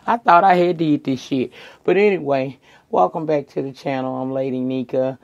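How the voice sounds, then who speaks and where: A middle-aged woman, hoarse tonight, chatting casually and sassily to viewers as she reviews a reality show. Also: very deep voice, normal speed, highs cut off. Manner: chatty, sassy, addresses viewers as girl and y'all.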